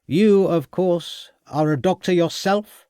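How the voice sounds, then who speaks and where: A man's voice: mid-range voice, expressive, normal speed, a little mellow, no echo, clean studio sound, lightly acted. A man voicing an irritable, curt schoolmaster in an audiobook mystery. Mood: irritated. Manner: curt, testy. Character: irritable schoolmaster, middle-aged.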